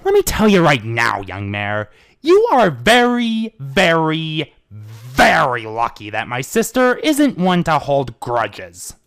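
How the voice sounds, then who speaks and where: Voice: mid-range voice, huge pitch swings, takes it slowly, fairly bright tone, heavily acted. An amateur reader voicing a stern, angry stallion prince and protective older brother, accusatory and emphatic, in a fan fiction reading.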